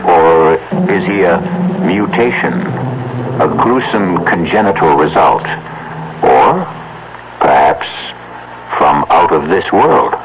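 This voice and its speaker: A man's voice: sits low, lively intonation, slow, long pauses, background noise. A man playing the theatrical host of a suspense radio drama, posing ominous rhetorical questions, on a tinny old broadcast. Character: ominous male host of a mystery tale.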